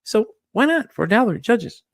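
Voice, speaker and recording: A man's voice: high voice, big pitch swings, moderate pace, no room sound, studio quality. A man hosting his podcast in casual chat, joking and chatty with his listeners. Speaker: podcast host.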